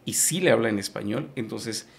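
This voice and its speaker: Gravelly low-voiced Yucatecan man. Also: normal speed. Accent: Yucatecan Spanish. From Yucatán, Mexico. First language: Maya. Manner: explains patiently with many fillers and restarts. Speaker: guest. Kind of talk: conversational interview.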